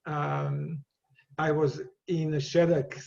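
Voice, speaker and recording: Serbian accented guy in an interview, slightly high voice, lots of restarts, muffled audio. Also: level tone, creaky, darkish tone. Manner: halting, many repeated words and restarts, laughs easily. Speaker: guest. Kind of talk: casual interview conversation.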